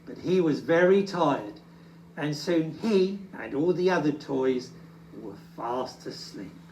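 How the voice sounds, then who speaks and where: A storyteller reading a children's storybook aloud in an animated, deliberate, expressive way. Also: mid-range voice, slight background noise. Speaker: storytime reader. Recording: echoey room, distant from mic.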